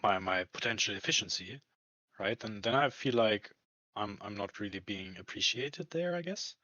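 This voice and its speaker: Male, lowish pitch, hesitant conversational delivery, dull lo-fi room recording. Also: expressive, bright, forceful tone. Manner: hesitant, halting, searching for words. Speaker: employee talking about a work contract. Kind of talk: casual conversation. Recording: muffled lo-fi, echoey room.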